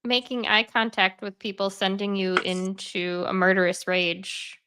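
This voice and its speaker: A woman's voice: mid-range voice, little pitch movement, measured pace, background noise. A woman hosting a casual chat about hobbies. She talks in a rambling way, with many fillers, and sounds a bit congested. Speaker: host. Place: at home, sitting in bed.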